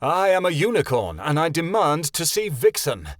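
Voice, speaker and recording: A man doing a big proud unicorn in a storybook reading, pitched a little above his own voice and easily offended. The sound is close and dry. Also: big pitch swings, normal speed, dry recording. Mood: indignant. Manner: indignant. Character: proud large male unicorn.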